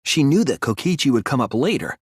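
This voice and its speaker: Young student detective, earnest, analytical, slightly breathy husky tone, expressive swings in pitch, deliberate explaining, video game dialogue. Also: male voice, no room sound, clean studio sound. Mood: measured reasoning. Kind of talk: scripted video game voice acting, deduction speeches.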